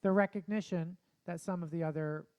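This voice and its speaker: A man co-hosting a casual theater interview, talking with enthusiastic, drawn-out emphasis. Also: high voice, steady tone, darkish tone, dull audio. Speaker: co-host.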